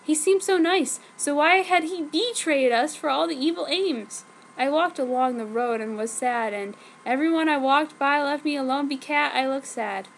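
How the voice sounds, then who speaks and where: A woman's voice: sits high, normal speed. A woman narrating fan fiction in a theatrical, mock-dramatic reading style. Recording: clearly noisy home recording.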